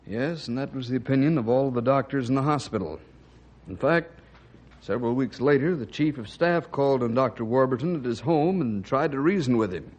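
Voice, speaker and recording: A man acting as an earnest, knowing ranger in an old radio drama. His voice is husky and mid-pitched as he tells a tale, on a muffled vintage recording. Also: a little fast, a little mellow, natural voice. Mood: grave. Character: knowing, earnest ranger telling a sad backstory. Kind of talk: old-time radio storytelling.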